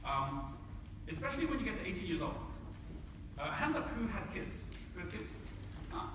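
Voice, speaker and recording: A man's voice: sits high, very animated, moderate pace. A man giving a live seminar talk, chatty and quizzing his audience, repeating words as he goes. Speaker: seminar speaker. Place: large meeting room with an audience. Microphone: far from the recording mic. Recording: echoey hall from far off, background noise.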